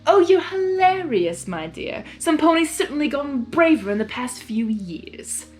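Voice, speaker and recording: A woman's voice: high-pitched, sing-song, unhurried, faint music behind, voice pushed up. A woman voicing a sly, theatrical male creature villain in a story reading, with a sarcastic drawl and a mocking manner. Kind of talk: fan fiction character reading.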